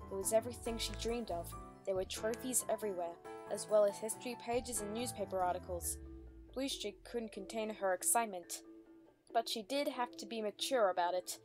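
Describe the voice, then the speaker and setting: A woman reading scripted story narration as the narrator of a dramatic reading. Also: mid-range voice. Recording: music under the voice.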